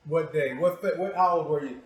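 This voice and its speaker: A male show host with a somewhat high voice speaks in a conversational interview style. He is direct and probing in his delivery. The room around him rings with a clear echo. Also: fairly quick. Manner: probing, short direct questions and prompts. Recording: echoey room.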